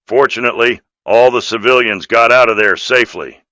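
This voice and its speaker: A man's voice: mid-range voice, a little fast, pushed and bright, no echo, close to an everyday voice. A man voicing an earnest, dutiful police officer in video game dialogue, firm and official in manner.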